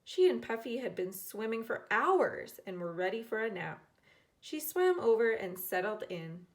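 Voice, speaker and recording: A woman teacher reading a children's storybook aloud in an expressive way, acting out the characters. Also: fairly high pitch, moderate pace. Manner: expressive, dramatizing characters' lines.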